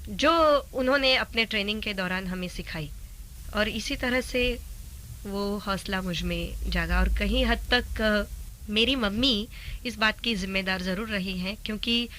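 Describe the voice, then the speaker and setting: A woman's voice: medium pitch, unhurried, pushed and bright, slight background noise, low-quality recording. A woman interview guest giving reflective, personal answers in a conversation.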